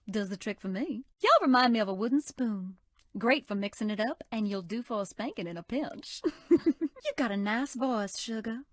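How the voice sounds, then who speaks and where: Lady doing a flirty southern belle baker in a game, drawly and coy, bouncy highish voice, dry close mic. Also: dry recording, lightly acted. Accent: American Southern. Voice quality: sultry drawl, coy and teasing. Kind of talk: video game character voice lines.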